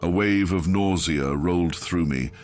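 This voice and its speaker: A man reading a scripted first-person horror story in a grim, measured, dramatic manner, as an audiobook narrator. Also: low-pitched, a little mellow, faint music behind.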